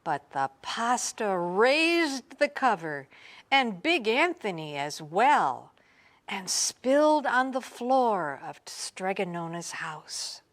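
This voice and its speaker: A woman reading a children's storybook aloud in an expressive, theatrical way, sometimes dropping to a hush. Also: mid-range voice. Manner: expressive, theatrical, drawn-out phrasing with hushed drops. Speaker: storybook reader. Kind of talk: dramatic children's read-aloud narration.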